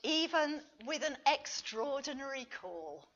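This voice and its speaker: Female conference lecturer, unhurried and expressive, reading a prepared paper on a slightly muffled recording. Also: high voice. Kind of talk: scripted academic lecture.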